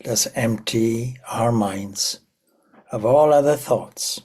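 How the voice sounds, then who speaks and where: A man leading a guided meditation in a slow, prayerful way, letting phrases trail off into soft whispers. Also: medium pitch, husky. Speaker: meditation teacher.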